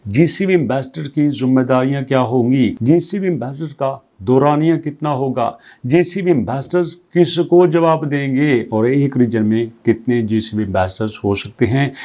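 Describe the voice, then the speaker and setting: A man with a warm, darkish voice at a middle pitch, hosting a crypto news channel. He walks through the update in an explanatory, conversational way, stacking up rhetorical questions and restating points in plain terms. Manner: reads English passages aloud, then explains them conversationally; poses strings of rhetorical questions. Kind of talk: explanatory commentary.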